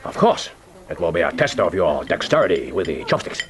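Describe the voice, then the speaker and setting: An actor playing a courteous but stern Japanese secret service chief in a radio play, with a Japanese accent and formal, measured, instructive speech. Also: male voice, low-pitched, sing-song. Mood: stern, teasing. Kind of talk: radio drama acting. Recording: radio play with loud music underneath.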